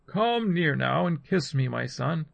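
Man acting solemn aged father, raised pitch. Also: animated, unhurried, creaky, dry recording, lo-fi sound, exaggerated voice. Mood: searching, tender. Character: aged father, solemn, trembling, blessing his sons.

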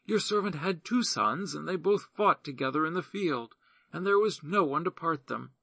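A woman's voice: deep voice, animated, normal speed, vocal fry, a bit bright, husky, dry recording, voice pushed up, exaggerated voice. A man reading the part of a grieving widow pleading earnestly before a king. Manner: earnest, imploring.

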